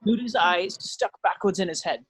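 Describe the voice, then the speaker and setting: A man's voice: sits high, moderate pace, a bit bright, breathy edge, dry recording, poor audio. A man narrating scripted stage directions at an amateur drama table read.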